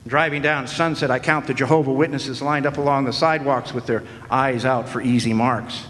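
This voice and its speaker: A man's voice: mid-range voice, steady tone, brisk, fairly bright tone, slight background noise. Wry hard-boiled male noir narrator. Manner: wry, sardonic. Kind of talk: 1940s noir detective narration in a live radio play. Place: historic club hall, live audience performance. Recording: long echoing hall reverb.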